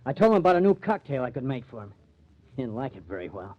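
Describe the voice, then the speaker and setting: An actor playing a scheming, cornered killer, agitated and self-justifying, in a 1950s radio mystery. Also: male voice, mid-range voice, sing-song, fast talker. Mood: bitter, confessing. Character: scheming, cornered male killer, bitter and defensive. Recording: dull old radio recording.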